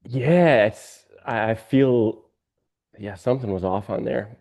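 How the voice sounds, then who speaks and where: A man chatting casually as he reviews his own work, halting and self-critical in manner. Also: mid-range voice, mellow. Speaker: radio presenter being coached. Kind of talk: casual conversation.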